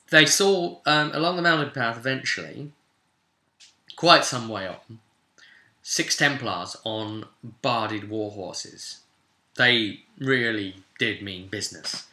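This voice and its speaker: Echoey room, british guy telling his tabletop game story, really bright sharp voice, chatty n slangy. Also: medium pitch. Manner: animated, chatty, cheeky, slangy. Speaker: game master recapping a tabletop session. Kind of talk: casual storytelling recap of a role-play game. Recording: roomy, echoing room sound.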